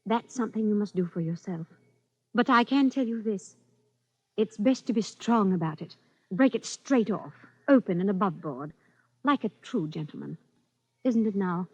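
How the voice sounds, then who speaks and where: An actress playing a knowing, manipulative lady with a husky voice and arch, coaxing delivery in a 1940s radio suspense drama. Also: mid-range voice, lively intonation, no room sound. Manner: arch, coaxing, deliberate phrasing. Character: knowing, coolly manipulative, mock-sympathetic lady advisor. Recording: dull vintage radio recording.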